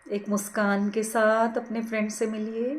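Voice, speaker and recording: A woman leading a guided meditation, giving soothing, gentle, unhurried instructions. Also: a little high, flat delivery. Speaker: meditation teacher.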